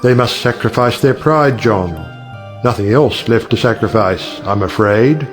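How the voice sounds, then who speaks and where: A witty, cynical middle-aged judge played by a male actor holds forth in a stage-play style. He is sardonic and rhetorical, lecturing his family in a medium-pitched voice, with music behind him. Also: measured pace, lightly acted. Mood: wry. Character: witty cynical older uncle, a judge who lectures on marriage. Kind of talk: stage play audiobook acting.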